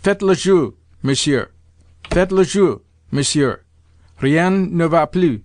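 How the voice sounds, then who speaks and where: A storybook casino croupier, acted out by a male narrator, calling out in a raised, high pitch. His delivery is formal and proclaiming. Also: slow, a little mellow, no room sound, clean studio sound. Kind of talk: audiobook character voice.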